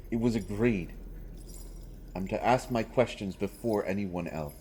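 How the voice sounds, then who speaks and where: Male actor for deep-voiced fantasy roleplay royal interrogator, secretly protective, earnest, pleading urgency. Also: a little slow, darkish tone, slight background noise, lightly acted. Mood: tense, guarded. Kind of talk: dramatic fantasy audio roleplay addressed to the listener.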